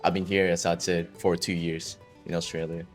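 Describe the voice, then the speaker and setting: A young man from Spain, a Spanish speaker by birth, talks easily in a low voice and sprinkles his answers with fillers. Faint music sits under him. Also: normal speed. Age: young adult. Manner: relaxed, uses lots of "like" fillers. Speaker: international student. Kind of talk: casual interview answers.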